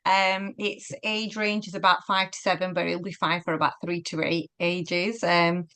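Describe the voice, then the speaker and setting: A bright, clear woman talks about her new book in a relaxed, chatty way. She laughs easily and pads her sentences with fillers as she tells the story behind it. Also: lowish voice, normal speed. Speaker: author. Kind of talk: casual virtual book launch chat.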